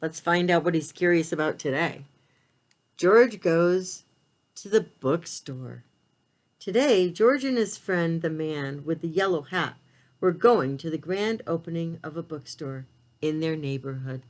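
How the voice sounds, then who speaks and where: A woman with a slightly low voice reads a picture book aloud for preschoolers. Her pitch moves in lively swings while the pace stays slow. She speaks to the children in simple, direct language, as an expressive storyteller.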